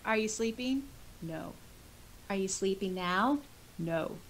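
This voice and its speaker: A woman's voice: mid-range voice, expressive, slow, a little hiss or hum. Casual woman podcaster, lightly giggly. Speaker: podcast co-host and audiobook reader. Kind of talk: casual chat, reading out listener comments.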